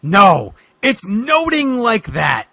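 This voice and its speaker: A man playing a haunted, urgent bogeyman wrestler in a hammy, halting comic reading. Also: sits high, very animated, creaky, background noise, exaggerated voice. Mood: urgent, troubled.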